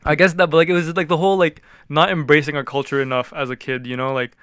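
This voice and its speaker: A young man from the Philippines chatting casually on a podcast, slangy and quick to chime in. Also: on the high side, flat delivery, fairly bright tone, smooth. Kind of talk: casual chat.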